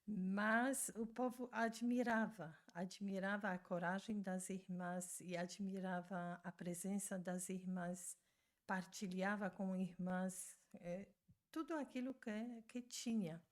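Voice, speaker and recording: Older nun, talks real slow, pauses a lot, mid pitch. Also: female voice, fairly even intonation. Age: 60s. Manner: slow and deliberate, with frequent pauses and restarts. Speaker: religious sister. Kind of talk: recounting memories in conversation.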